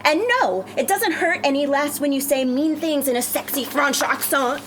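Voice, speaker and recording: A woman playing a brash, sassy, proud plus-sized customer, theatrical and sharp-tongued, in a screenplay table read. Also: sits high, moderate pace, slight background noise. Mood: scornful.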